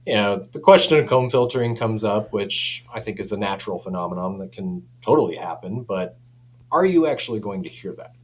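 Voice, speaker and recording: A man's voice: lowish voice, expressive, fairly quick. A man chatting casually as a company representative explaining audio products, easygoing and colloquial, often saying "you know". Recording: clear background noise with hum.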